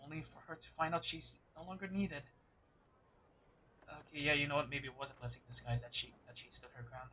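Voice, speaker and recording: A man streaming a game, reacting casually and musing aloud in a hesitant, halting way. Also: on the high side, fairly even intonation, sharp tone, slight background noise.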